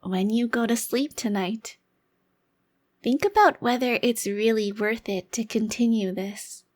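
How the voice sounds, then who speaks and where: A woman's voice: on the high side, measured pace. A woman playing a haughty, centuries-old vampire crime lord trapped in a child's body, speaking formally in an ASMR roleplay. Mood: grim, defiant.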